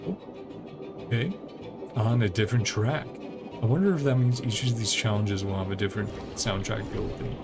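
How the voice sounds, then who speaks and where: A man giving casual, rambling gameplay commentary as a streamer, thinking aloud as he plays, with a creaky voice. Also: lowish voice, moderate pace. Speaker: video game streamer. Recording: game music behind the voice. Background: video game music.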